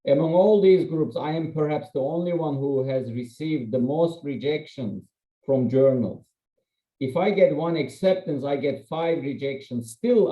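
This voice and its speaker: A man from Turkey, a non-native English speaker and journal editor, presenting an academic webinar in a candid, self-deprecating, conversational way with frequent fillers. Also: medium pitch. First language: Turkish. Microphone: computer mic on video call. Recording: echoey, slightly muffled video-call audio.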